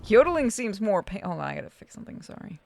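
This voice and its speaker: Informal female game streamer, mid pitch. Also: big pitch swings, quick pace, gravelly, a little mellow, a little hiss or hum. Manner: informal, sweary, exclamatory. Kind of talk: casual gaming commentary with friends.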